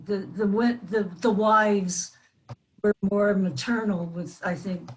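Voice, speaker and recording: An older woman reminiscing as a panel guest on a video call, in a measured, conversational style. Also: medium pitch, fairly even intonation, a little hiss or hum, rough recording. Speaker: panel guest, former child radio actress.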